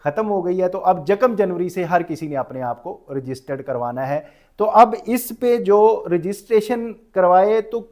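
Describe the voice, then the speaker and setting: A man with a Pakistani accent speaks in a notably high register for a male voice. He delivers an informal lecture as a professional instructor, dropping English terms into his speech. He often rephrases what he has just said to explain it clearly. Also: lively intonation, a little slow, darkish tone. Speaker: tax professional giving a lecture.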